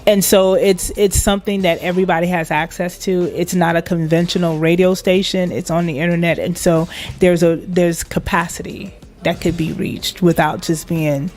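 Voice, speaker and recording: Woman from Liberia, low voice, emphatic, proud, laughs easily, faint music behind. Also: flat delivery, normal speed, few pauses, a little mellow. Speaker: guest, internet radio station manager. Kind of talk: casual interview chat.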